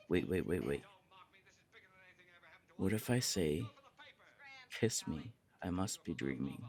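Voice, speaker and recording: A man playing a lovesick, earnest young punk roommate in an intimate ASMR romance roleplay, speaking in a halting way. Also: on the low side, heavily acted. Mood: flustered, amazed, then tender, pleading.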